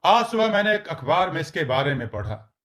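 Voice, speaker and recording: A man's voice: fairly high pitch, big pitch swings, a little fast, a bit bright. A man voicing an earnest adult son in a storybook tale, explaining with some reproach. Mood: earnest, reproachful.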